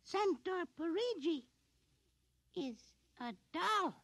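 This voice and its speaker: A tiny alien tyrant in a doll's body, speaking very high and close. The delivery is haughty, deliberate and full of menace, in the manner of an old radio horror play. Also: female voice, animated, fairly bright tone, no echo, full character voice. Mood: cold menace. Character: tiny imperious alien commander in doll body. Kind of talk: 1950s radio horror acting.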